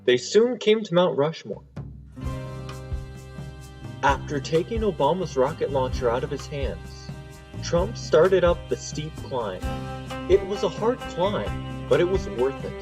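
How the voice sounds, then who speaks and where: A man's voice: a little high, big pitch swings, a little slow, long pauses. A man narrating a parody game story in a dramatic, scripted storytelling style. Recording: loud music under the voice.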